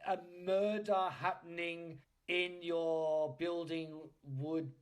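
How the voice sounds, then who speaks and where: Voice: mid-range voice, flat delivery, slow pace. Playful, teasing podcast host chatting casually.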